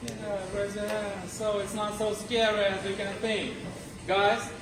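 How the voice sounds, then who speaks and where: Guy hosting a contest, talks slow and halting, far from mic in echoey room. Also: sits high, little pitch movement. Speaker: contest host asking questions. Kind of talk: hosting a live club speaking contest. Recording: echoey room from afar, loud music.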